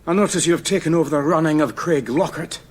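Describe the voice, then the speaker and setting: An actor playing a bitter, betrayed prisoner in a period radio drama, with an accusing manner. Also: male voice, fairly high pitch, quick pace, natural voice.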